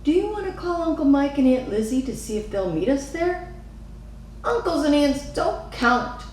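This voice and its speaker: A woman's voice: sits high, big pitch swings, normal speed, darkish tone, pitched above the performer's own voice. A woman voicing an earnest, wistful little girl from a storybook, with eager, wondering phrasing. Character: earnest, wistful little girl who loves her mother. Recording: echoey room, distant mic, faint noise.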